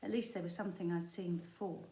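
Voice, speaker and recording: A woman primary school teacher reading a children's book aloud, expressive and storytelling, with the odd slip. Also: fairly low pitch, quick pace. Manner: expressive storytelling with small slips and asides. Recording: echoey room.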